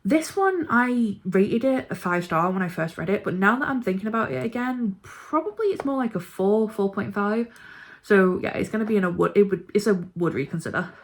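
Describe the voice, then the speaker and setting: A woman's voice: medium pitch, animated, moderate pace. A woman chatting casually and informally about books she ranks, with a gushing, emphatic way of talking. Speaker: book reviewer vlogger. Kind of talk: casual chat ranking books.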